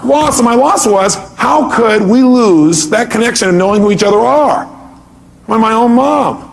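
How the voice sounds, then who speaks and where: A man's voice: very high voice, animated, moderate pace, creaky. A man speaking as a motivational seminar leader, emphatic, putting rhetorical questions to his listeners, repeating key points and acting out reactions.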